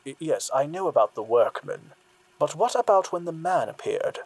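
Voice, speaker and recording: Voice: medium pitch, animated, moderate pace, background noise, close to an everyday voice. An actor playing a cool, sceptical interrogator who probes a witness sardonically in an audio drama. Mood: cool, sardonic. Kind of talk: dramatic audio fiction acting.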